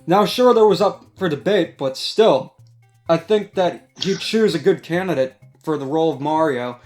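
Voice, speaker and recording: A man's voice: fairly high pitch, lively intonation, normal speed, a little mellow. A man hosting a casual discussion, giving commentary over background music. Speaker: host. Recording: music playing behind the voice.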